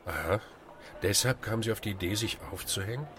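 An actor playing a calm, wry, probing volunteer leader, speaking with dry irony in a radio drama. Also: male voice, lowish voice, fairly quick, slight background noise, lightly acted.

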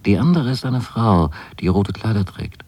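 Uneasy young son, low-voiced. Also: male voice, expressive, normal speed, a little mellow, breathy edge. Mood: confiding, wary. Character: uneasy, watchful young son lodging among strangers. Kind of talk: intimate radio drama monologue, spoken as a letter.

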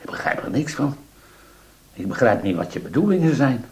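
An actor playing an embittered, hot-tempered rebel in a radio drama, volatile and emphatic. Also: male voice, medium pitch, big pitch swings, moderate pace. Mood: wary, calculating. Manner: volatile, emphatic, swinging from shouts to mutters. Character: embittered rebel man, locked-up accuser, hot-tempered, easily bought. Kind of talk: Dutch radio drama acting.

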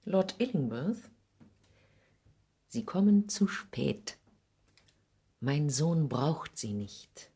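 An actress playing a proud, wounded middle-aged mother in a 1960s German radio play, husky and dignified, with theatrical emphasis. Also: low-pitched, lively intonation, drawn-out words, pauses often, dark tone, full character voice. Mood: icy, defiant.

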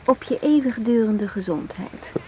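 A woman's voice: on the high side, lively intonation, a little slow, dark tone, muffled recording. An actress playing a cool, self-assured, seductive female agent in a radio play, knowing and challenging in her talk. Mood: coolly playful. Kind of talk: radio drama acting. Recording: hissy old radio play recording.

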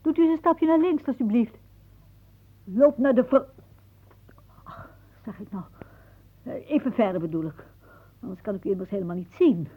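A woman's voice: a little high, lively intonation, moderate pace, long pauses, breathy edge. An actress playing a wry, philosophising older woman in a radio play, musing drily and easily irritated. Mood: fussy, inquisitive. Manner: musing, dryly aphoristic. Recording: muffled, phone-like old radio recording.

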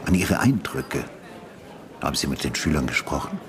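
Radio drama: retired homicide investigator, older male, deep voice, measured, sober, quietly probing questioner. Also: very animated, crowd noise, heavily acted. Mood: insistent, attentive. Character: retired homicide detective, older man, patient, probing, calm. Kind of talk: naturalistic German radio drama acting.

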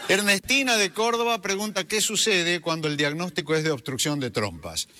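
A man's voice: high voice, animated, moderate pace, background noise. A man on a comic radio show, answering listeners and giving station announcements in a formal announcer manner. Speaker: radio host.

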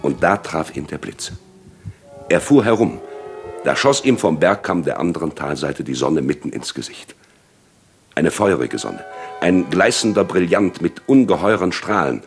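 A man narrates literary prose with drama. His voice sits very low yet moves widely in pitch. Music plays faintly along with the reading. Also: lively intonation, moderate pace, creaky.

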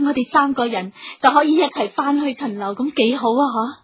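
High-voiced devoted young wife. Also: female voice, brisk, slightly rough. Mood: eager. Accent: Cantonese. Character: devoted young noblewoman wife, gentle, scheming kindly. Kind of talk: Cantonese radio drama acting. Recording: music under the voice.

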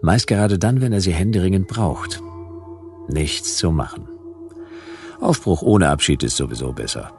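Very deep, gravelly noir detective narrator. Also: male voice, animated, normal speed, pauses often, a little mellow, soft background music. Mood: laconic, ironic. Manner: laconic, ironic. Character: world-weary, wry male private detective narrating his case. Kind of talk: hard-boiled scripted first-person narration, German radio play.

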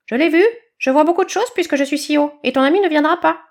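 A woman voicing a pushy, proud young male eaglet from an animal storybook, with a shrill, rattling squawk. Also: fast talker. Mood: smug, gloating. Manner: insistent, proud, cackling.

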